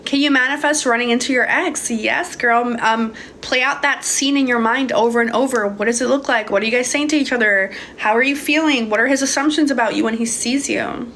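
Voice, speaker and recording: A woman's voice: fairly high pitch, brisk, few pauses, a bit bright, slight background noise. A young woman from Hungary answering viewers' questions about manifesting in a casual, swearing livestream chat. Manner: casual, swears freely, calls viewers babe. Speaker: manifestation coach. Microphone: phone.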